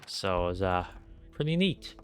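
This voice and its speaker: A man with a stuffy nose and a low voice talks casually while streaming a game. He rambles, restarts his sentences and fills gaps, sounding a little more nasal than usual. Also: very animated, normal speed, faint music behind. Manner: rambling, lots of fillers and restarts. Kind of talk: casual gameplay commentary.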